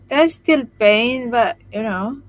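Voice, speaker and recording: Slow, soft-spoken woman after jaw surgery. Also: fairly high pitch, animated, no echo, rough recording. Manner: speaks softly, restarting phrases, laughing often. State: recovering from jaw surgery, tired. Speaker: live stream host. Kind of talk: casual live stream chat reading comments.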